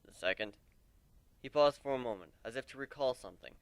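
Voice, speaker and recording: A man narrates a fantasy story in the first person with a dramatic, deliberate delivery. His voice is smooth and mid-pitched, and the recording is close and dry. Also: no echo. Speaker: amateur dramatic reader.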